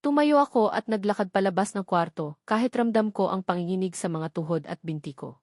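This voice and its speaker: Casting: female audiobook narrator, medium pitch, dramatic scripted first-person storytelling, dry studio-like sound. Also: a little fast, darkish tone, no echo.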